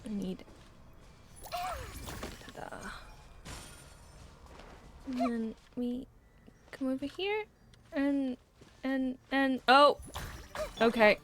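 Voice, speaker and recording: A woman's voice: high-pitched, sing-song, extremely slow, long pauses, fairly bright tone, a little hiss or hum. A woman doing casual live commentary as she streams a video game, muttering reactions as she plays. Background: game music.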